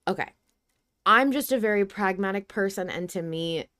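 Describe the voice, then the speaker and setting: A woman's voice: medium pitch, normal speed, bright, forceful tone, dry recording. A woman co-hosting a podcast in casual discussion, reasoning aloud and giving her opinions. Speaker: podcast co-host.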